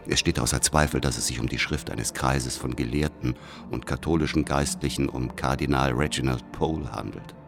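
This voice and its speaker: An actor playing a coolly calculating spy in a radio drama, reading his reports in a formal, measured, deferential manner. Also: very deep voice, vocal fry, music in the background. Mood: coolly reporting. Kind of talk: radio drama letter narration.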